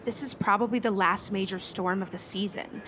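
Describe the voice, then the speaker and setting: A woman's voice: medium pitch, steady tone, normal speed, fairly bright tone, lightly acted. Woman as an earnest storm tracker, music behind. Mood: subdued. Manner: conversational, hesitant, thoughtful. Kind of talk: naturalistic radio play acting.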